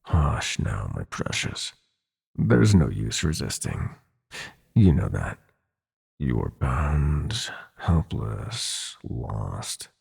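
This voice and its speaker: A man's voice: very deep voice, very animated, drawn-out words, a little mellow, heavily acted. A man playing a sinister, seductive prince of nightmares in an ASMR roleplay, gloating and possessive, with a theatrical, taunting purr that slips into whispers. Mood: hypnotic, coaxing. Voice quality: gravelly, husky.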